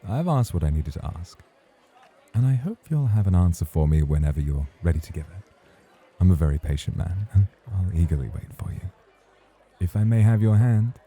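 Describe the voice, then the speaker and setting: A man's voice: low-pitched, mellow, slightly rough. A man playing a suave, patient nightclub host in an ASMR roleplay, speaking in a formal, courtly, flirtatious way. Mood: courteous, teasing. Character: suave, patient male nightclub host, teasing rival charmer.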